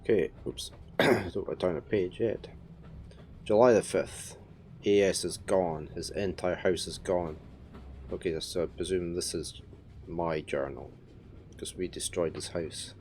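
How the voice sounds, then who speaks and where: A man streaming a puzzle game, chatting and thinking aloud, his voice croaky from a head cold. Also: low-pitched, unhurried, a little mellow, a little hiss or hum. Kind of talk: casual live-stream commentary.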